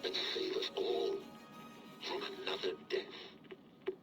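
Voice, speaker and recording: An actor playing a mysterious male seer who delivers a grave, halting message in a solemn, measured manner. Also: deep voice, fairly even intonation, vocal fry, a bit bright, heavily acted. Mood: grave, haunting. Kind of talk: slow cryptic narrated message. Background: music.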